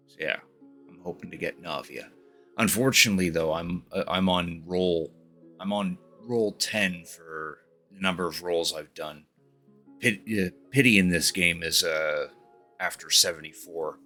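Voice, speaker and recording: A man giving casual livestream game commentary, informal and a bit halting as he talks. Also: low voice, pushed and bright. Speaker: game streamer. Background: game music.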